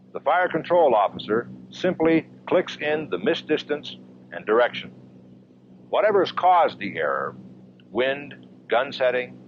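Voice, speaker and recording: An American man narrates a military training film in a measured, emphatic style. His pitch moves in an animated way over a mid-range voice. The sound is a dull 1970s film track with faint noise behind it. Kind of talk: scripted military documentary narration.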